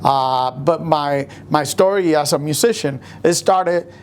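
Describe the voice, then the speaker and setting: Halting musician. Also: male voice, fairly high pitch, clear, resonant tone. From Puerto Rico. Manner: halting, many fillers. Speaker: guest musician. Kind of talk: interview storytelling.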